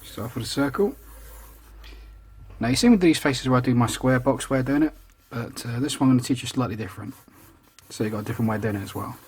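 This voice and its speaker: A man's voice: medium pitch, fast, long pauses, husky. A man, chatty and self-deprecating, talking through each step of a drawing tutorial. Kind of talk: casual real-time drawing tutorial. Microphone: voice over the drawing, not seen speaking.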